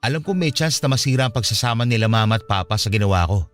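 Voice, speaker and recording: A man's voice: fairly low pitch, lively intonation, fast, lightly acted. A man acting an earnest young man who confides a painful family past in a conversational radio drama style. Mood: dismayed, grieving. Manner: conversational, confessional. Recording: music behind the voice.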